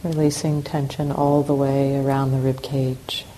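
A woman teaching a guided meditation, giving calm, unhurried instructions with long pauses between phrases. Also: very deep voice, poor audio. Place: meditation center hall. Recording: clear steady background noise.

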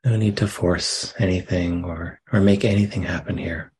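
A man leading a guided meditation as a teacher, giving soft, gentle instructions with long pauses. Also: deep voice, steady tone, a little hiss or hum.

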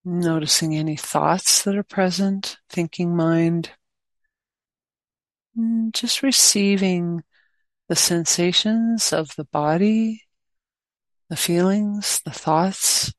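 A woman guiding a meditation as a teacher, speaking gently in short unhurried phrases with long pauses. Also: on the low side, no echo. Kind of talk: guided meditation.

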